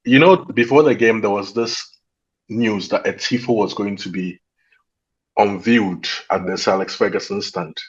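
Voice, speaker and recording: A man co-hosting a casual football chat, hoarse from nearly losing his voice, speaking emphatically and fervently. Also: lowish voice, moderate pace. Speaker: co-host. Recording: dull lo-fi sound.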